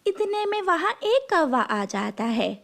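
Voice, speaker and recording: A woman's voice: very high-pitched, big pitch swings, unhurried, creaky, a bit bright. A woman narrating a scripted cartoon story for the listener.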